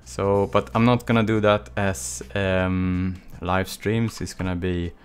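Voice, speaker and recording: A man's voice: low voice, takes it slowly, clear, resonant tone. A Swedish man in his thirties with a Swedish accent, casually chatting with viewers as he streams a texturing tutorial from a small home studio. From Sweden. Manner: relaxed, chatty, answers viewer chat between long quiet stretches. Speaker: live stream texturing instructor. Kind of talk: casual live stream chat while working. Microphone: desk mic with webcam.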